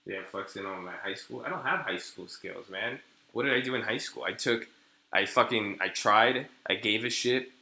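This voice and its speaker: A young man from California rambles through a casual live stream, full of crude slang and half-finished sentences. His voice sits very low but sounds very bright and sharp. The sound carries a roomy echo. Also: moderate pace, gravelly. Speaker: day trader streaming live. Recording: echoey room.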